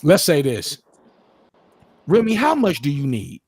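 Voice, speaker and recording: A man's voice: on the high side, wildly swooping pitch, measured pace, darkish tone, husky, dry recording. Slangy man in his thirties, dry sound. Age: 30s. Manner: profane, slangy, steering the talk with questions. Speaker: livestream host. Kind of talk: casual chat. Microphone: distorted mic at times.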